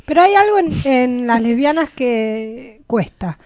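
A woman's voice: sits high, expressive, a little slow, a little mellow, no room sound. An Argentine woman chatting casually as a panelist on a radio show. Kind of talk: casual chat.

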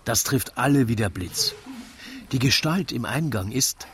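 An actor playing a wry storyteller who narrates a rhymed radio play with dramatic, drawn-out suspense. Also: male voice, mid-range voice, expressive, background noise, close to an everyday voice.

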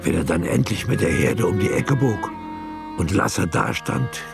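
A man's voice: deep voice, animated, measured pace, vocal fry, music in the background. A man narrating a scripted radio drama in a storytelling manner.

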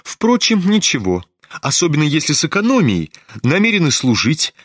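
A man voicing a proud, sharp-tongued young noblewoman in a storybook reading, mocking and imperious. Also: a little high, huge pitch swings, moderate pace, no echo, voice pushed up, heavily acted.

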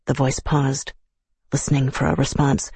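Audiobook narrator, measured and solemn, mid-pitched and husky. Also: flat delivery, darkish tone, dry recording. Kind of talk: scripted narration.

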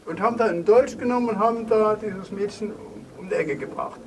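Man acting high male voice, blunt accuser, insistent, radio drama, distant mic, noisy background. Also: a little fast, lightly acted. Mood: accusing, insistent. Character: accusing, judgmental man confronting a murderer.

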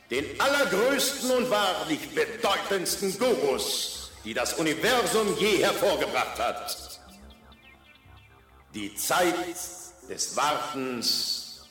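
A man playing an exalted, solemn and fervent orator, declaiming grandly with pauses in a radio drama. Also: fairly high pitch, big pitch swings, sharp tone, full character voice. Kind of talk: radio drama public oration. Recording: very echoey, distant, music behind.

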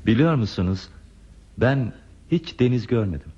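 Radio-play hermit: lonely, polite, pensive, mellow voice with expressive swings, muffled recording. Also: male voice, mid-range voice, normal speed, breathy edge. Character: lonely, gentle hermit man living in a remote place. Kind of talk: Turkish radio theater acting.

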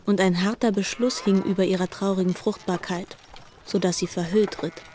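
A woman's voice: mid-range voice, fairly even intonation, moderate pace, dark tone, slight background noise. A woman delivering scripted literary narration in a formal, composed way.

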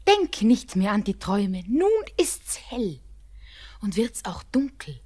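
A young woman playing an anxious, devoted bride in a German radio drama, breathy and often near a whisper, with tender, fervent, poetic delivery. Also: mid-range voice, sing-song, takes it slowly, darkish tone. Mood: soothing, tender. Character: anxious, devoted young bride keeping watch over her beloved.

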